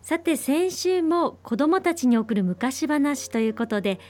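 A woman's voice: sits high, animated, measured pace, darkish tone. A woman hosting a radio show, introducing the guest in a polite, formal way. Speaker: radio host.

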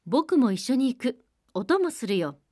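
A woman's voice: fairly high pitch, big pitch swings, measured pace, dark tone, no echo, studio quality. A woman voicing a courteous, insistent young prince in a storybook reading. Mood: eager.

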